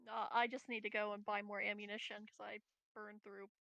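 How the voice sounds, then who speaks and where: Bright, creaky-voiced woman gamer. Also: mid-range voice, little pitch movement, fairly quick, no echo, dull audio. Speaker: tabletop game player. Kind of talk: casual game chat.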